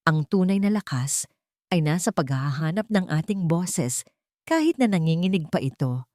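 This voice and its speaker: A woman narrator acts out a young mother and survivor in an audio drama. Her voice is low and lively in pitch, steady and sincere. The sound is dry and close. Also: moderate pace, no echo. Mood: resolute, hopeful. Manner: clear, steady, earnest. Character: resilient young mother, survivor turned earnest advocate.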